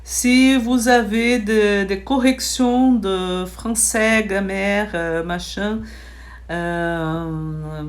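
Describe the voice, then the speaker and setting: Brazilian-accented woman vlogger, unhurried and halting, talking into a handheld phone, native Portuguese speaker working through French. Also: medium pitch, steady tone. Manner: hesitant, many restarts and fillers. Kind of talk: casual chat to camera. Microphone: phone held in hand.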